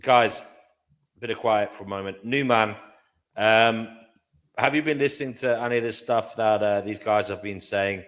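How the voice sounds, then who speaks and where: A man's voice: fairly low pitch, moderate pace, a bit bright. Man hosting, cutting in to steer. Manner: cuts in to steer the talk. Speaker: host.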